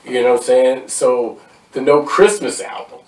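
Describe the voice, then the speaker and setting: A man's voice: medium pitch, fairly even intonation, moderate pace, darkish tone, far from the mic. A man hosting a podcast, chatting casually and supportively, often saying "you know what I'm saying.". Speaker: podcast host. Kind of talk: casual chat. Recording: roomy, off-mic sound.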